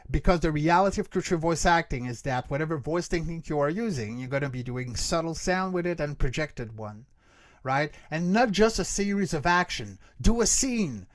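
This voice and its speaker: Higher-pitched man, explaining casually, dry sound. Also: lively intonation, moderate pace, no echo. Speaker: guest expert, creature voice actor and workshop teacher. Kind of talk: casual explanatory interview answers.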